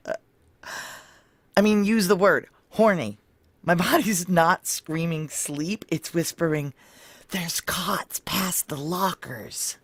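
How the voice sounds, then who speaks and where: A woman's voice: fairly low pitch, fairly bright tone. An actress playing a tough, sardonic, profane female military security chief in a noir audio drama, blunt and wry. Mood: wry, weary. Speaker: lead actor and narrator.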